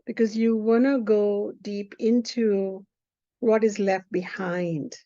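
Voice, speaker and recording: Slow, mellow-voiced woman teaching. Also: mid-range voice, steady tone, no echo. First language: Hindi. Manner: unhurried, often checks in with the listener. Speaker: spiritual teacher. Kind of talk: teaching a spiritual class. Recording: dull lo-fi recording.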